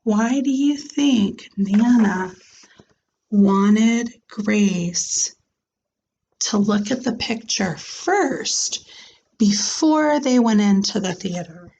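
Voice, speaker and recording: A woman reading a children's storybook aloud in a deliberate, expressive way, like a teacher with a class. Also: medium pitch, vocal fry, slightly rough, muffled recording.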